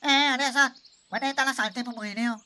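A woman's voice: high-pitched, steady tone, fast talker, sharp tone. A woman playing a grumpy, mouthy, slovenly housemate who whines and protests in a comic radio serial, with a Réunionese Creole accent. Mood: protesting.

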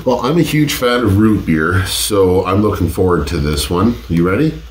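Man in his forties, very low voice, stuffy nose from a cold, relaxed and foul-mouthed, roomy home office, faint hum behind. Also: moderate pace. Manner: laid-back, swears casually. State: congested from a cold. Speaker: host. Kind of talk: casual taste-test vlog. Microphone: desk condenser mic.

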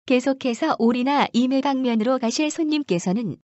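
A woman with a high voice delivers formal subway announcements with careful, measured wording. The recording is dry and a little dull and lo-fi, with music behind her. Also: darkish tone, no room sound. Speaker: train announcer. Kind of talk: scripted subway public announcement.

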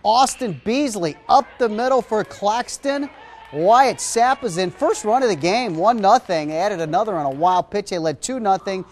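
A middle-aged man anchoring sports news in a TV studio, reading scripted stories and calling highlights. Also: animated, moderate pace, a little hiss or hum.

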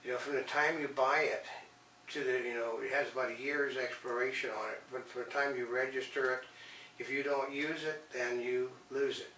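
A man's voice: medium pitch, steady tone, moderate pace, pushed and bright. A man in his sixties chatting casually as co-host, explaining things in a rambling way. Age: 60s. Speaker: co-host of a home media expo wrap-up. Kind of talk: casual chat. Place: small indoor room, seated on a couch. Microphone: camera a few feet away. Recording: echoey room, distant mic, clear noise.

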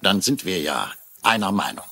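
An actor playing a curt, dismissive hospital doctor with a brusque manner, in a dubbed TV drama. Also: male voice, sits low, animated, moderate pace, vocal fry, sharp tone, heavily acted. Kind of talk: dubbed TV mystery drama acting.

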